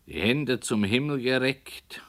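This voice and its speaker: A slow, deliberate author. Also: male voice, medium pitch. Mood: measured. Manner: measured storytelling, soft and deliberate. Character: calm, unyielding male radio play author. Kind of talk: radio play acting.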